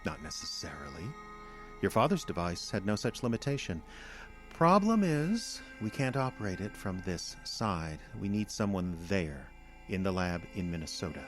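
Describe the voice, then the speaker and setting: An actor in an audio drama playing a condescending alien invader in human guise, speaking in a measured, lecturing manner. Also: male voice, low voice, very animated, faint music behind. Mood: coolly menacing.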